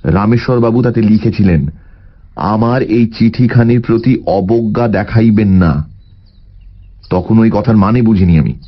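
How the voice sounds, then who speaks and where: An actor playing a shrewd, self-possessed detective in a dramatised audio story. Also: male voice, lowish voice, measured pace, pauses often, highs cut off. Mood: urgent, insistent. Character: composed, shrewd male detective, urgent when on a clue.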